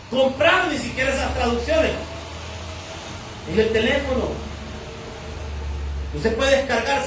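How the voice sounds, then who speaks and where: A Salvadoran pastor with a high voice preaches in a big echoing church hall, heard from some distance off the mic. He teaches by asking questions and answering them himself, with a faint room hum behind him. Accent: Salvadoran Spanish. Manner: teaching, asks and answers his own questions. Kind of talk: sermon. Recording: echoey church hall from far off.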